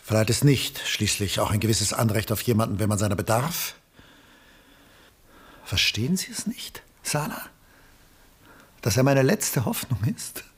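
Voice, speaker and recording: A male actor in a 1960s German radio play, playing a lonely, needy, melancholy aging artist with a rough, husky voice. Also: fairly low pitch, animated, normal speed, long pauses, heavily acted. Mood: pained, pleading.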